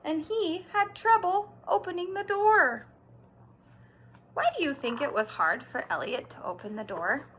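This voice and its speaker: Kindergarten teacher lady reading a storybook out loud, bright highish voice, asks the kids questions, some noise behind her. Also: unhurried. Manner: expressive, emphatic storytelling with simple words and questions to the listener. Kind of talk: read-aloud lesson for young children, pausing to explain and ask questions.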